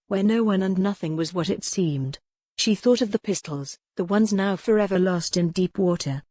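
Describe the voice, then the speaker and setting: A woman's voice: fairly low pitch, darkish tone, no echo. A woman reading historical romance fiction as a scripted audiobook narration.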